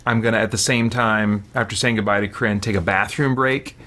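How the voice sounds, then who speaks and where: A man's voice: fairly low pitch, steady tone, a little fast, sharp tone. A man chatting casually and jokily as the easygoing host of a gaming livestream.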